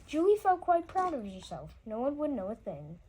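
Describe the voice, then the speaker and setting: A woman with a fairly high voice reads a rhyming story for children. She trips over words and goes back to repeat them. Noticeable noise runs behind her reading. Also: very animated, warm tone, low-quality recording. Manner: halting reading, often stumbling and repeating words. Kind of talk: read-aloud rhyming children's storybook narration.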